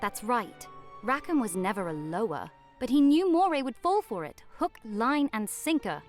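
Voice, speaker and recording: A woman's voice: on the high side, very animated, normal speed. A grave, cursed haunted-house woman. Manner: solemn, urgent explaining. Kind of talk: video game voice acting. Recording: faint game music behind.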